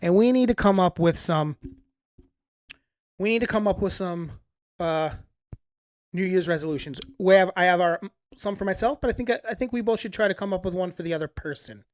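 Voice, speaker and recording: A woman's voice: fairly low pitch, brisk, darkish tone, no room sound. A woman in her late thirties co-hosting a podcast, teasing and mock-scolding her friend in casual chat at home. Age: 30s. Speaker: podcast co-host. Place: apartment room, recorded together in person.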